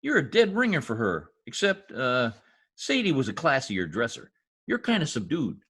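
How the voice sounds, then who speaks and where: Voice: mid-range voice, huge pitch swings, moderate pace, fairly bright tone, heavily acted. A performer in a 1940s-style radio comedy-mystery voicing a shady, wisecracking informant with slangy, ungrammatical talk. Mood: sly, wry. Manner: streetwise slangy talk with bad grammar. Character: shady, wisecracking lowlife gangland informant nicknamed Piggy. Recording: husky-sounding home recording over video call.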